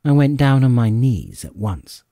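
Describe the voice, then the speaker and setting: A man narrating a classic adventure audiobook in an expressive, suspenseful storytelling manner. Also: medium pitch, a little slow, creaky, dry recording, clean studio sound. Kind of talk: dramatic scripted storybook narration.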